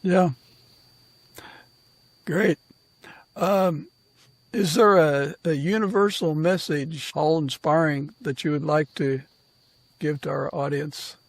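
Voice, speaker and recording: Slow, higher-pitched older man hosting. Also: fairly even intonation, no room sound, poor audio. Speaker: podcast host. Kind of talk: conversational interview.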